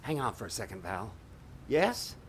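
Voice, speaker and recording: A man's voice: lowish voice, sing-song, normal speed. An actor in an audio drama playing a flustered, defensive father who is a failed stage magician, exasperated and stammering. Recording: clearly noisy background ambience.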